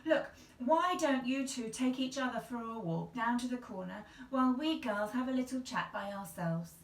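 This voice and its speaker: A woman's voice: fairly high pitch, moderate pace, roomy sound, recorded from a distance, pitched above the performer's own voice, natural voice. A woman voicing a prim, fussy little aunt in a storybook, fretful and fussing.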